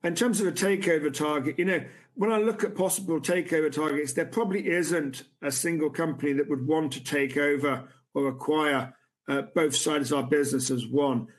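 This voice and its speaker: A man speaks on a video call, answering investor questions as a company's chief executive. He keeps stopping and restarting his sentences, filling the gaps with "um" and "you know". His voice sits a little high for a man. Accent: non-American. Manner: halting, many restarts and fillers. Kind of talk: conference Q&A. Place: room with a city view at night.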